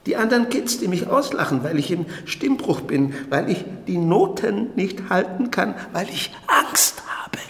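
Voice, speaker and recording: Stubborn old grandfather, slightly high voice, fearful, grumbling, reclusive, radio play, reverberant hall. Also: expressive, measured pace, vocal fry, few pauses, fairly bright tone, whispered, full character voice. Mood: anxious. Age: older. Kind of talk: naturalistic radio drama acting. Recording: echoey hall-like reverberant room.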